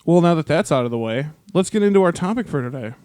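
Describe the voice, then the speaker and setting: A man's voice: fairly high pitch, animated, fast, darkish tone, smooth, no echo, studio quality. A man co-hosting a podcast in casual chat, with a tongue-in-cheek, mock-serious way of joking. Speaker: podcast co-host.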